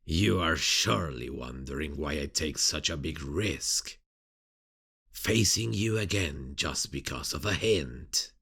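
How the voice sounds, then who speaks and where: A man playing a sadistic, playful serial killer in an ASMR roleplay, mocking and teasing in a husky, gravelly voice with drawn-out delivery. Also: rumbling low, lively intonation, bright, forceful tone, full character voice. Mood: playful menace.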